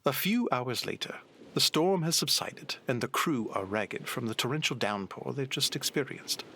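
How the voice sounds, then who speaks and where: A man's voice: mid-range voice, animated, moderate pace, vocal fry, sharp tone, breathy edge. A man giving scripted narration as the storyteller of an audio drama.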